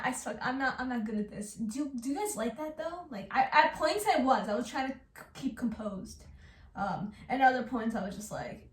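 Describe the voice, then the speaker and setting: A woman giving casual reaction commentary in an informal, hesitant, self-deprecating way. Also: sits high. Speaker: reaction video host. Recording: roomy, distant mic, faint noise.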